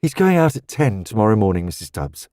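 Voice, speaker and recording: A man reading the part of a level-headed young woman artist, well-spoken and brisk, friendly yet blunt. The delivery stays lively in pitch and sits dry and close, as in a storybook reading. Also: no echo. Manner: polite, brisk, frank.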